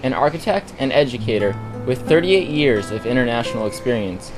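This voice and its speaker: A man's voice: mid-range voice, expressive, a little fast, over music, low-quality recording. A man narrating a documentary feature from a script, in an informational style.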